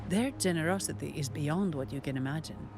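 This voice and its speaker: A composed, low-voiced female bodyguard guide. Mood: firm, matter-of-fact. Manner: measured, formal, businesslike. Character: composed, dutiful woman hired as guard and guide. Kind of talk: video game voice acting. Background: background music.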